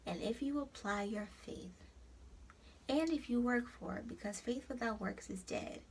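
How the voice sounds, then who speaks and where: A young woman with a New York accent speaks in a slightly high, gravelly voice. She gives encouragement the way a preacher would and calls her listeners honey. A faint hiss of noise sits under the recording. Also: moderate pace. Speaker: host of an encouragement site. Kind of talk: casual motivational vlog.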